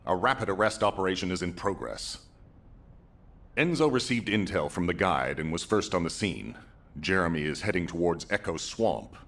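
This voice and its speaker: Grave army commander for a game, super low husky voice, stern and formal, weary but resolute. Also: male voice, expressive, normal speed, a bit bright, exaggerated voice. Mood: stern, urgent. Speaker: commander giving mission briefings. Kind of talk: dramatic video game briefing.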